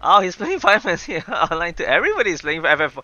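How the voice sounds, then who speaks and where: Bright, animated man streaming a game. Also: high voice, fast, dry recording, muffled recording. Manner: animated, exclamatory, playful. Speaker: streamer, virtual avatar host. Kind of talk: casual livestream game commentary, reading dialogue aloud. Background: game music.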